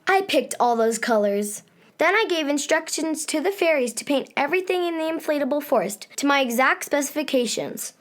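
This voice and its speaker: A woman's voice: sits high, lively intonation, fairly quick. An actress playing a sunny, self-satisfied young girl who rules summer in a children's radio drama, breezy and gushing. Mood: cheerful, proud.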